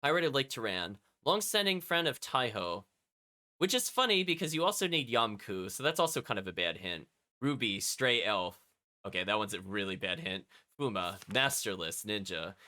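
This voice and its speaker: A man's voice: lowish voice, lively intonation, moderate pace, pushed and bright. A man streaming a video game, reading its text aloud and chatting with casual asides to his viewers. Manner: chatty, often commenting as an aside.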